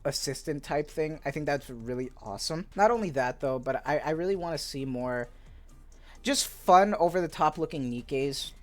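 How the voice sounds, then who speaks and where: A man's voice: a little high, moderate pace. A man giving casual, rambling commentary on viewer suggestions for a video game, upbeat and eager, full of filler words. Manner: laid-back, rambling, peppered with "like" and "dude". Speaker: gaming YouTuber. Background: faint background music.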